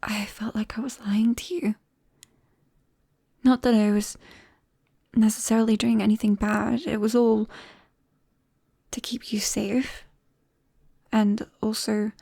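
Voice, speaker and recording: A British woman playing a nervous, tender vampire best friend in an ASMR roleplay, speaking softly and haltingly with drops into whispers. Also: a little high, vocal fry, breathy edge, dry recording, studio quality, exaggerated voice. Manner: hesitant, halting, breaking into whispers. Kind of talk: ASMR roleplay, soft intimate acting. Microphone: close microphone, dry.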